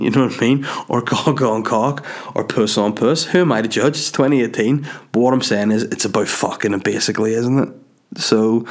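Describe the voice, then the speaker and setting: Rambling crude guy. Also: fairly low pitch. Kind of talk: casual commentary.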